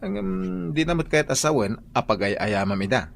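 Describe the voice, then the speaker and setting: A man's voice: mid-range voice, big pitch swings, normal speed, gravelly, slight background noise. A man with a Filipino accent playing a playful, brash well-off employer in an Ilocano radio drama.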